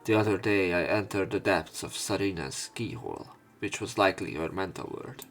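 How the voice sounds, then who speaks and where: A man's voice: low voice, moderate pace, a bit bright, faint music behind. A man reading visual novel text aloud as dramatic narration for a let's play.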